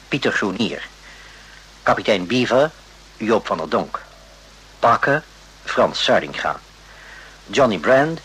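A male announcer with a fairly low, bright and forceful voice. He reads formal radio drama credits slowly and deliberately, on a slightly noisy recording. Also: slightly rough.

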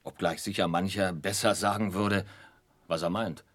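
An actor playing a shy, sturdy, devoted young fisherman in a 1960s radio play, speaking haltingly and earnestly, repeating words. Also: low-pitched, steady tone, a bit bright, dry recording. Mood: deeply moved.

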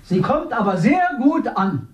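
A vain, fretful politician-actor. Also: male voice, high-pitched, measured pace. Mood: irritable. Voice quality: defensive and self-important, with lively swings. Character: vain male politician who is also an actor. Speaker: actor playing a minister. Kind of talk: 1970s radio drama. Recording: echoey room, voice at a distance.

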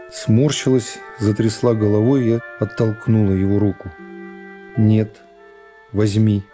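A middle-aged man narrates literary prose as a radio play. His pitch sits in the middle and his delivery is measured and dramatic. Music plays clearly behind the voice. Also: dark tone.

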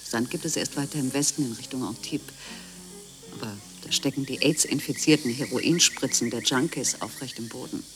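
A man's voice: mid-range voice, normal speed, faint music behind, lightly acted. A man narrating as a sober reporter in a radio play, describing scenes in a matter-of-fact way. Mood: sober, observant. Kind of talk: scripted documentary-style radio narration.

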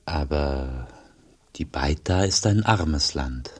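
An actor playing a revered, pious old monk in a German radio play, solemn and measured, with a deep gravelly, breathy voice. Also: male voice, expressive, heavily acted. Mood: earnest. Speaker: actor and first-person narrator.

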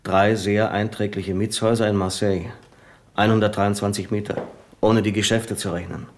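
An actor playing a humble, rattled secretary and war veteran who speaks haltingly, in a 1970s radio play. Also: male voice, fairly low pitch, little pitch movement, slight background noise, natural voice. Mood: earnest. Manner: hesitant, halting, earnest.

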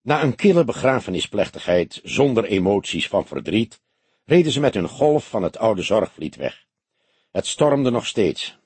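A man narrating an audiobook in a measured, expressive literary storytelling style. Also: fairly low pitch, slightly rough, dry recording.

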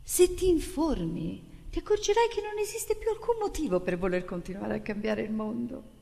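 A mocking, condescending stage cynic, acted by a woman, high and animated. The sarcasm is exaggerated and theatrical, ringing in a reverberant hall. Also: moderate pace, creaky. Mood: sarcastic. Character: mocking, condescending cynic needling an idealistic performer. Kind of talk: theatrical monologue in dialogue.

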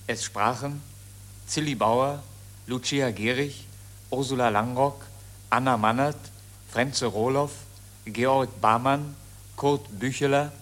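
A man making a formal announcement in the style of vintage radio credits, with measured enunciation. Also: mid-range voice, a bit bright.

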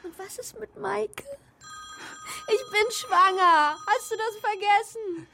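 A woman's voice: extremely high pitch, takes it slowly, creaky, sharp tone, slight background noise, exaggerated voice. An actress playing a worried, stubborn young girlfriend in a radio drama, anxious and insistent. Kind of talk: modern German radio drama acting.

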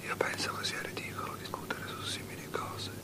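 An actor playing a soldier on watch who trades disdainful palace gossip in a whisper, in radio drama style. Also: male voice, fairly quick, natural voice. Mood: hushed, scornful. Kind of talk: poetic radio drama dialogue.

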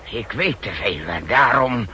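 An actor playing a defiant, desperate pilot cornered by an agent, with bitter theatrical delivery in a 1960s radio drama. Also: male voice, fairly low pitch, animated, measured pace, sharp tone, heavily acted. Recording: hissy 1960s radio recording.

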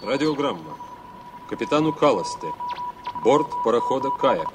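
An actor playing a ship's radio operator reading out a message in formal, clipped phrases in a 1980s radio drama. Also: male voice, medium pitch, sing-song, moderate pace, natural voice. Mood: official, urgent. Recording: noisy old radio play recording.

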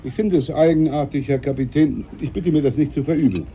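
Actor as a stiff ship's doctor, thin noisy recording. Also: male voice, a little high, fairly quick, natural voice. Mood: stiff, protesting. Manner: formal, stiff, protesting. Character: stiff, aggrieved ship's doctor, deferential but resentful. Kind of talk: German radio play acting. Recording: tinny, clearly noisy 1980s radio recording.

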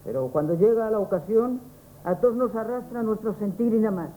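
A woman's voice: medium pitch, fairly quick, warm tone, close to an everyday voice, rough recording. An actress playing a shrewd older neighbor woman who plots to save a family, in a 1970s radio play. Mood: urgent. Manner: urgent, conspiratorial. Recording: old radio broadcast with faint hiss.

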